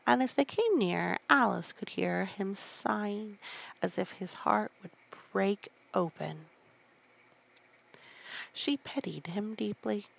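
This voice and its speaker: Sleepy, creaky woman reading a story. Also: medium pitch, slow, dull audio. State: sleepy, drifting off. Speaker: bedtime story reader. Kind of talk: sleepy read-aloud of a children's classic.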